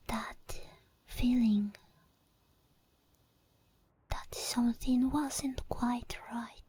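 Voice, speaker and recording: A woman voicing a possessive, seductive sleep paralysis demon in a breathy whispered ASMR roleplay, slow and intimate. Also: on the high side, warm tone, dry recording, full character voice. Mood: taunting, intimate. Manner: slow, intimate, lingering delivery.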